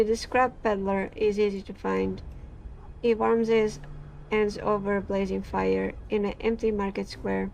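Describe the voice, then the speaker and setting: A woman from Portugal, a gaming creator reading game text aloud and chatting in a halting way, full of fillers and restarts. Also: mid-range voice, creaky, a little mellow, a little hiss or hum, poor audio.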